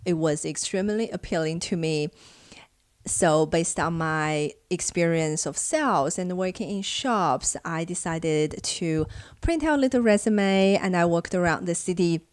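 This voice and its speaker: Lowish-voiced young woman vlogger telling her story. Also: a little slow, no room sound. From China. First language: Chinese. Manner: animated when acting out past conversations. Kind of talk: casual storytelling to camera.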